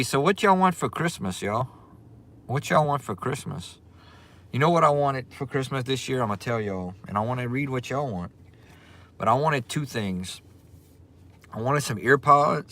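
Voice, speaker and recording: A man's voice: mid-range voice, moderate pace. Cajun man chatting on a livestream. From Louisiana. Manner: relaxed, chatty, reads and greets viewers' comments. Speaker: livestream host. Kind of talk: casual livestream chat.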